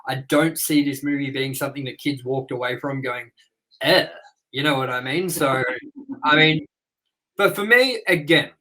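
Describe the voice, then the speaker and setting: A man's voice: mid-range voice, moderate pace, bright, forceful tone, rough recording. A man in his thirties co-hosting a movie review show, chatting casually and telling rambling stories with casual swearing.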